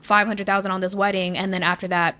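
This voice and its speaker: A young woman chatting casually on a podcast, animated and full of fillers. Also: mid-range voice, fast talker. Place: podcast studio.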